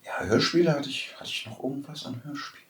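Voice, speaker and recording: A man's voice: mid-range voice, fast, fairly bright tone. A man in casual conversation with friends as a podcast co-host. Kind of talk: casual chat among friends. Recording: roomy, distant from the mic.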